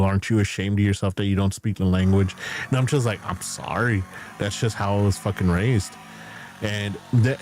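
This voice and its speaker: A man streaming as a VTuber, chatting casually and candidly, swearing freely and filling pauses with "like" and "um". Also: fairly low pitch. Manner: rambling, candid, swears casually, frequent fillers and laughs. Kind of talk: casual stream chat answering viewer questions. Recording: music playing behind.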